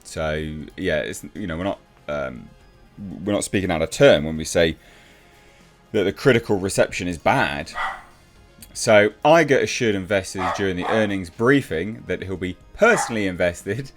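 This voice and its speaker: British male commentator, low-pitched, blunt and sarcastic, casual opinion delivery over music. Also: lively intonation, moderate pace. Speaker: YouTube commentator.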